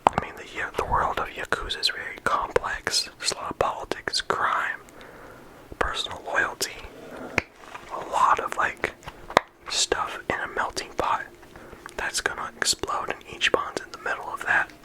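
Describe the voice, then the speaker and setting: A person whispering in ASMR style, talking through a discussion in a casual, halting way with lots of filler words. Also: very high voice, fairly even intonation, low-quality recording. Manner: casual, halting phrasing with frequent "like" and "you know". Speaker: video creator and host.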